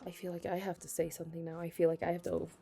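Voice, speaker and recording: A young woman playing a flustered, affectionate best friend with a secret crush in an intimate ASMR roleplay, speaking hesitantly and haltingly. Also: on the low side, flat delivery, mellow, breathy edge, soft background music. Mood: shy, confessional. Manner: hesitant, halting, breaking into nervous laughs. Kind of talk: ASMR romantic roleplay, intimate conversational acting.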